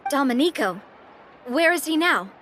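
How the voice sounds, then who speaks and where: High, lively young boss lady. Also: normal speed, a faint crowd, a little hiss or hum. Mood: confident. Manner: assured, polite, decisive. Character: confident young woman boss, poised and brisk.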